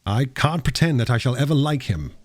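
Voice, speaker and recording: Guy playing old-time gentleman lawyer from a storybook, firm, blunt, loyal, husky and expressive. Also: medium pitch, brisk, no echo, voice pushed up, close to an everyday voice. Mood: resigned. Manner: firm, formal.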